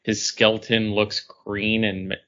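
Bright-voiced man, dull lo-fi recording. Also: lowish voice, level tone, slow pace. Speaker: comics podcast co-host. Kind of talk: casual chat reviewing comics. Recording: dull lo-fi sound.

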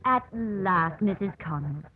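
A poised, genteel newlywed lady played by a woman in a 1950s radio play. She is gracious and forward, with a polished mid-pitched voice. The sound is thin and tinny. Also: animated, unhurried, slight background noise, natural voice. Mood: gracious, charming. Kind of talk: 1950s radio drama acting.

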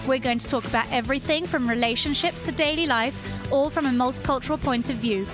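A woman's voice: high-pitched, fast, over music. A woman hosting a casual podcast interview, cheeky and bubbly. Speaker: podcast host.

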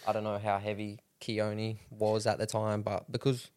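A man's voice: on the low side, monotone, moderate pace, a little mellow, smooth, dry recording. A man speaking as a podcast guest in casual chat, laid-back and easygoing. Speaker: guest, MMA fighter.

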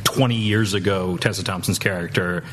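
A man chatting casually as a panelist, with a halting, tentative way of talking. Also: sits low, steady tone, fairly bright tone, a little hiss or hum.

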